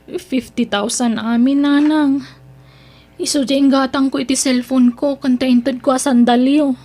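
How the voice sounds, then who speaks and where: A woman's voice: high-pitched, steady tone, a little slow, dark tone. A woman playing a scheming, resentful young adult daughter in a radio drama, protesting in a whiny way. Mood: defensive. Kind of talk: radio drama acting in Ilocano.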